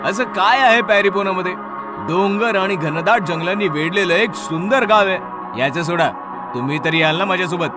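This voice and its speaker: A man's voice: high voice, moderate pace, music in the background, lightly acted. A man voicing an adventurous young male traveller in a horror story, speaking in casual slang. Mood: eager. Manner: excited, casual, friendly slang. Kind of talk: dramatised horror story dialogue.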